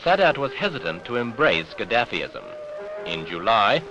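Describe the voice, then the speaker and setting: A man's voice: mid-range voice, big pitch swings, normal speed, bright, forceful tone, dull audio, soft background music. A British man reading scripted newsreel narration with pointed, dramatic phrasing on an old 1970s soundtrack.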